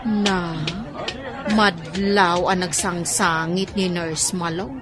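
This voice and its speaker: A woman's voice: lowish voice, steady tone, takes it slowly, music in the background, a crowd in the background. An actress playing a dutiful young housemaid who speaks respectfully to her employer. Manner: respectful, says sir. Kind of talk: Ilocano radio drama acting.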